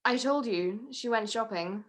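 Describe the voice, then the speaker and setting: Woman acting a coy, high-voiced evasive lady. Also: a little slow. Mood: evasive, falsely innocent. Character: evasive, politely fluttery married woman, feigning innocence. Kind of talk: 1940s detective radio play acting.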